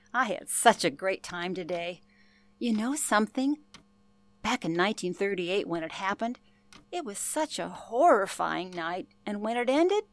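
Actress as elderly lady, gentle, nostalgic, polite, radio drama, dry close sound. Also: mid-range voice, no echo. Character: gracious elderly woman reminiscing about a night in 1938. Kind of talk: radio play acting.

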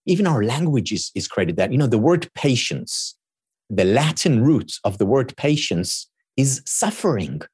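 A man's voice: mid-range voice, sing-song, measured pace, darkish tone, husky, dry recording, clean studio sound. A man whose first language is Hebrew, a guest giving earnest explanations in an interview and often repeating words as he thinks. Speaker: guest expert. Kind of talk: thoughtful interview talk.

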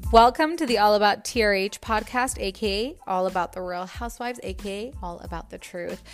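A woman's voice: mid-range voice, brisk, faint music behind. A woman in her thirties hosting a podcast, chatting casually with many fillers and restarts. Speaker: podcast host. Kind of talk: casual chat.

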